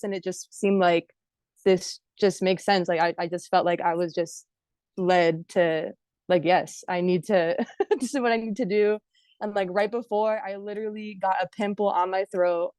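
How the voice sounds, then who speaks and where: A woman's voice: medium pitch, fairly even intonation, moderate pace, darkish tone, clear, resonant tone, no room sound. A woman from Hawaii chatting casually on a video call, using lots of filler words and laughing now and then. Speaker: course participant.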